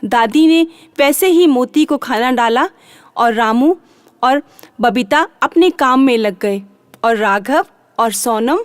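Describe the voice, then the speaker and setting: A woman's voice: high-pitched, dry recording, studio quality. A woman narrating a children's bedtime story, repeating and drawing out phrases for drama.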